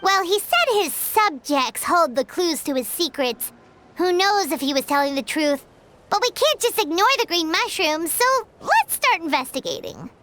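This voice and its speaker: A woman voicing a small, excitable female companion in a video game, squeaky and childlike, given to exclamations. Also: normal speed, noisy background. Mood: excitable, bossy. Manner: exclamatory, refers to herself by name.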